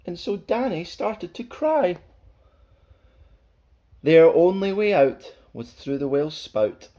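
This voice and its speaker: Male storyteller for a children's picture book: animated rhyming read-aloud, playful, theatrical, mid-pitched. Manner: playful, theatrical, sing-song rhythm.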